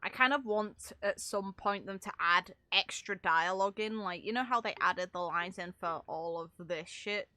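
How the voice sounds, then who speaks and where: A woman game streamer giving casual, animated, unscripted reactions in informal, sweary language. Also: mid-range voice, moderate pace, hardly any pauses, no room sound.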